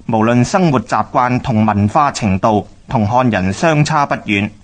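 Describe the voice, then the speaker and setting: A male narrator of a historical radio drama speaks Hong Kong Cantonese at a medium pitch. He reads scripted history in a measured, explanatory way.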